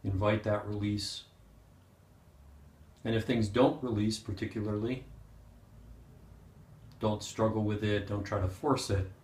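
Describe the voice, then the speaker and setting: A man leading a guided meditation as a teacher, speaking gently and unhurriedly with pauses. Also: lowish voice, fairly even intonation. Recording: roomy, echoing sound.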